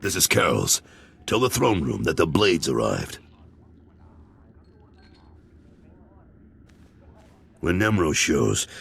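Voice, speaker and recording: A man playing a blunt, businesslike lieutenant to a crime lord in a video game, with a gruff, husky voice. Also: a bass voice, exaggerated voice. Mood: stern, guarded. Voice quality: gravelly, husky. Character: gruff, wary crime lord's lieutenant and enforcer. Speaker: voice actor in a game. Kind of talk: video game cutscene acting.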